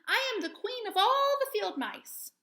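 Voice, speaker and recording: Woman voicing a squeaky, high-pitched little mouse queen. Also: expressive, measured pace, bright, forceful tone, exaggerated voice. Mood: grateful, excited. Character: tiny grateful queen of field mice, regal but excitable.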